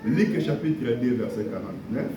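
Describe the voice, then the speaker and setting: A man's voice: medium pitch, lively intonation, normal speed, noisy background. A man preaching a sermon, repeating blessings and drawing amens from the congregation. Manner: preaching with call-and-response amens; repeats key phrases and blessings. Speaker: pastor. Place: church hall. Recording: echoey hall from far off.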